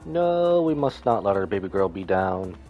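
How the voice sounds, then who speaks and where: A man's voice: low-pitched, sing-song, normal speed, lo-fi sound, soft background music. A man livestreaming a game, reacting with playful mock drama and baby talk. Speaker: game streamer. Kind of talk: live gameplay reaction commentary.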